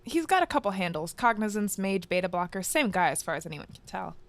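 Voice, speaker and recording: A woman acting in an audio drama as a calm, reassuring cyberpunk fugitive with a soft, hesitant manner. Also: mid-range voice, fast talker. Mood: curious.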